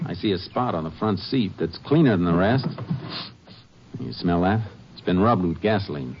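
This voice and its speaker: An actor in a 1950s radio drama playing a steady Texas Ranger, calm, firm and clipped. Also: male voice, sits low, moderate pace. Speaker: lead and narrator. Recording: lo-fi old radio recording with hiss.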